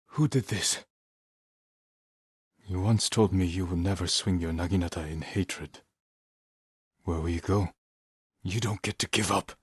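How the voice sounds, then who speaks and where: An actor voicing a grave, determined samurai warrior in a video game, speaking in measured, solemn, terse lines. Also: male voice, deep voice, breathy edge, dry recording, studio quality, heavily acted. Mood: earnest, steady. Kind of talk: dramatic game character dialogue.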